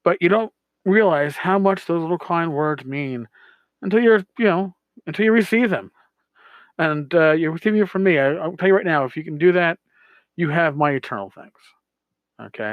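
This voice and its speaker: A man hosting a podcast, talking casually as he answers listener voicemails in a halting, word-searching way with repeats. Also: fairly high pitch, dry recording, lo-fi sound. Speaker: podcast host.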